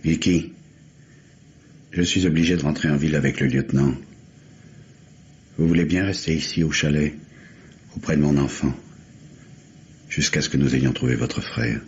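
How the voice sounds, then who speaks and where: A worried, anguished father with a deep voice and halting, dramatic phrasing. It is old French radio mystery drama, heard through a muffled recording with faint noise. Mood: subdued. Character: anguished father named Griffin, fearful for his daughter.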